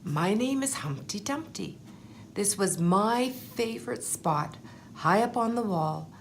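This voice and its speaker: A woman voicing a humble, anxious but determined egg telling his life story in a storybook, in an earnest, measured way. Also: mid-range voice, animated, slight background noise. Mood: modest. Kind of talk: storybook first-person narration.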